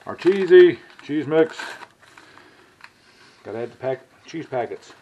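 A man's voice: a little high, lively intonation, takes it slowly, dark tone, a little hiss or hum, poor audio. A middle-aged man, rambling in a folksy way as he cooks and talks in his home kitchen. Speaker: cooking vlogger. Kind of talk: casual cooking vlog.

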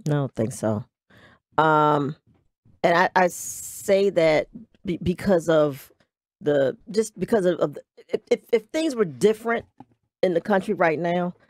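A woman in her fifties answering on a radio show in a hesitant, halting way, into a studio mic. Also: low voice, a little mellow, dry recording. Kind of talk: radio interview chat.

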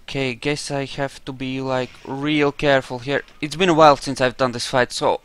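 A man's voice: medium pitch, level tone, normal speed, fairly bright tone, clear, resonant tone. A man giving casual commentary on a role-play game playthrough, musing and thinking aloud as he goes.

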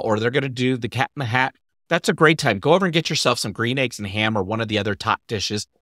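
A man's voice: medium pitch, a bit bright, no echo, studio quality. A man in his thirties giving upbeat, eager recommendations to camera on a headset microphone in a room at home. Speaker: theme park vlogger.

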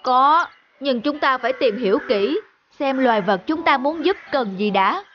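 A man's voice: very high-pitched, lively intonation, moderate pace, muffled recording, a little hiss or hum. A man voicing a big, well-meaning monkey who leads his troop in a cartoon. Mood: regretful. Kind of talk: cartoon voice acting.

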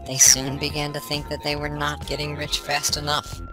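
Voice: mid-range voice, completely flat delivery, moderate pace, over music. A female-sounding voice reading a storybook fable through a voice changer effect. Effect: voice changer filter. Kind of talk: scripted storybook narration.

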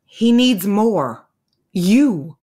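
An actress playing an earnest, firm schoolteacher in an audio drama, measured and direct, pleading but principled. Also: female voice, medium pitch, animated, warm tone, husky, heavily acted. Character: earnest, firm, dedicated woman elementary school teacher. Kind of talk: naturalistic audio drama acting.

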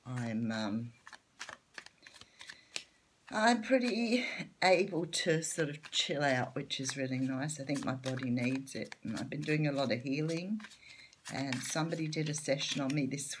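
A middle-aged Australian woman with a hoarse, croaky voice, chatting casually as she reads tarot cards at home. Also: a bass voice, slight background noise. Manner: chatty, open, laughs lightly. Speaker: tarot reader. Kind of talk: casual tarot reading to camera. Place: home room at a table.

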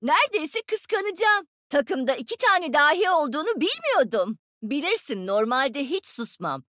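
An actress voicing a smug, playful young female tech genius in a video game, expressive and teasing. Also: high-pitched, normal speed, dry recording, studio quality, full character voice. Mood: animated.

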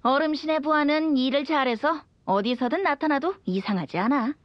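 High, boyish young bard played by a woman. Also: fairly quick, no echo, dull audio, natural voice. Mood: playful. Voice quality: boyish, light. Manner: playful, lilting. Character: carefree teasing young male bard, breezy and reassuring. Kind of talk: animated video game voice acting.

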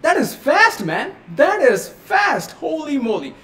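Excitable man hosting a live tutorial. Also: extremely high pitch, slow pace. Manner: excitable, exclamatory, chatty. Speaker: tech tutorial host. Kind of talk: casual live-stream tutorial. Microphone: USB studio microphone.

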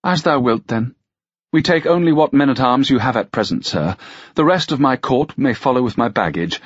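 A man's voice: medium pitch, lively intonation, normal speed, husky, no room sound, muffled recording, pitched above the performer's own voice. A man voicing a haughty, cool, mocking princess in an audiobook, with imperious, archaic courtly speech.

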